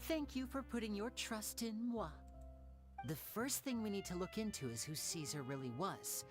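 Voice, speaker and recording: Charming game magician, theatrical and teasing, swings up and down. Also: male voice, high-pitched, animated, normal speed, music in the background. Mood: suave. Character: charming young male stage magician, playful. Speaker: stage magician. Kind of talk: game cutscene voice acting.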